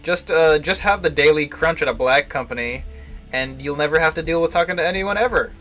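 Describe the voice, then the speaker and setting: A man giving casual live playthrough commentary, wry and halting, often restarting his phrases. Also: a little high, fairly bright tone. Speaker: streamer playing a visual novel.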